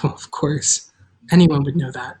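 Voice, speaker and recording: A woman's voice: low-pitched, steady tone, moderate pace, warm tone, pitched below the performer's own voice, lightly acted. Young heroine for audio drama, woman acting: plucky, protective girl, earnest, indignant yet kind. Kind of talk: table read of an audio drama.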